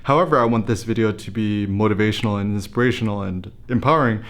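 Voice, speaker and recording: A young man from the United States talks to camera in a fairly low voice. He thinks aloud as he goes, restarting sentences and dropping in fillers, in a loose motivational vlog manner. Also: fast, fairly bright tone. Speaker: photography blogger and YouTuber. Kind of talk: casual motivational talk to camera.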